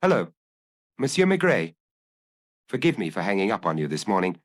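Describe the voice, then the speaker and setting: Man acting an anguished fugitive clerk, animated pitch. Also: moderate pace, no echo, studio quality. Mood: anguished, confessional. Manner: agitated, confessional. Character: anguished, restless male fugitive office clerk on the run. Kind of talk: audiobook character dialogue.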